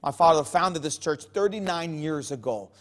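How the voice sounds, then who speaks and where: Higher-pitched man, earnest and emphatic. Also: expressive, normal speed. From Jerusalem and Bethlehem region. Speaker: pastor being interviewed. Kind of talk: earnest interview testimony.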